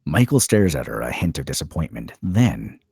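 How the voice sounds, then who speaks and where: A man reading stage directions as a narrator at a script table read, in a terse, measured dramatic style. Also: extremely low pitch, sing-song, creaky, a little mellow, breathy edge, dry recording, studio quality.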